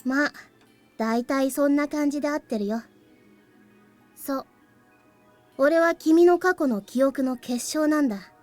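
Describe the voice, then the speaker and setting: A woman voicing a calm, aloof young boy with a cool, matter-of-fact, slightly cheeky manner in a Japanese visual novel. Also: high voice, a little fast, long pauses, no echo, soft background music, lightly acted. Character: calm, aloof young boy, crystallized past memory of the hero.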